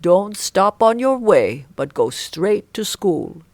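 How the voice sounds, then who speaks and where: A woman's voice: medium pitch, sing-song, slow pace, darkish tone, no echo. A woman voicing a kindly old father in a children's storybook, speaking fondly and emphatically to a child. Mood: fond instructing.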